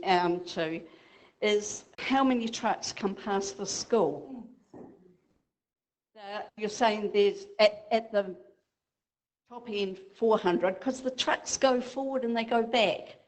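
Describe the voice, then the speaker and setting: A woman's voice: mid-range voice, rough recording. A woman with a New Zealand accent speaking as a resident at a public meeting, earnestly laying out figures.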